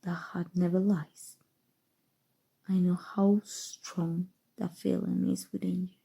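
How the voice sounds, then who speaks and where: A woman playing a possessive evil sorceress in an ASMR roleplay, speaking softly and tenderly with a breathy voice that often drops to whispers. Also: on the low side, little pitch movement, exaggerated voice. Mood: soothing, seductive. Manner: slow, soothing, deliberate, sinister affection. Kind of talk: ASMR roleplay, intimate scripted monologue.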